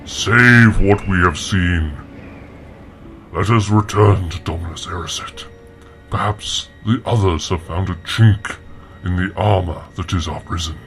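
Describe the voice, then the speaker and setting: Man as a slow, solemn fantasy warrior. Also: deep voice, a bit bright, faint music behind. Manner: stately, formal. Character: solemn, patient, pious non-human warrior brother. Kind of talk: fantasy audio drama acting.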